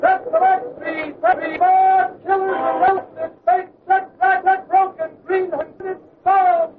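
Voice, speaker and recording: Very high, chanting newsboy, tinny radio. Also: male voice, little pitch movement, a faint crowd. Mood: urgent hawking. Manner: drawn-out chanting shout. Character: shouting street newspaper seller hawking headlines. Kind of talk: old-time radio drama acting, street cry. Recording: tinny vintage radio recording with music.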